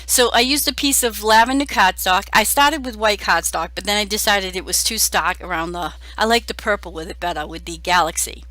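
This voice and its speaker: Hoarse middle-aged woman crafter, chatty and self-deprecating, bright tone. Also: medium pitch, a little fast, hardly any pauses, no echo. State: hoarse from a cold. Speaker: card-making crafter. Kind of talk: casual crafting voice-over.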